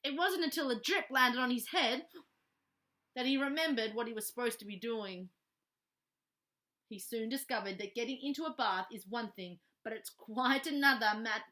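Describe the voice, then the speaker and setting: A woman reading a children's story aloud expressively, animated and adding playful little asides. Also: a little high. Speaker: storybook reader.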